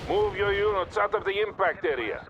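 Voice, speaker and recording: A man's voice: high voice, normal speed, dull audio, lightly acted. A man playing a stern, composed Soviet field commander with a Russian accent, giving clipped, formal orders in a military video game. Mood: urgent orders. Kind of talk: scripted video game military radio dialogue. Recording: loud battle noise behind. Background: artillery fire, explosions and gunfire.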